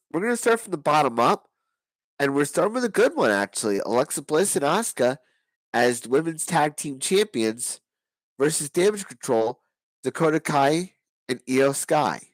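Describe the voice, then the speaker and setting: Hoarse male vlogger, mid-pitched, rambling delivery full of restarts, dry close sound. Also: no echo, poor audio. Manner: rambling, with many restarts and fillers. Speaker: wrestling predictions vlogger. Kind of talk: casual solo predictions talk.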